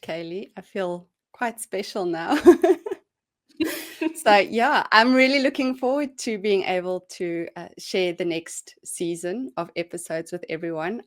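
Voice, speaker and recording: Slow-spoken South African woman, lowish voice. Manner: warm, a little nervous, giggly. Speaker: new podcast host. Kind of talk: casual podcast chat. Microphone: podcast microphone.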